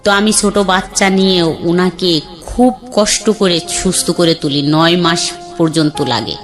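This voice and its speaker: A woman's voice: on the low side, unhurried. Bangladeshi woman telling her story. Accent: Bangladeshi colloquial Bengali. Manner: plain conversational, acts out quoted dialogue. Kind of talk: candid storytelling. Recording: faint background music.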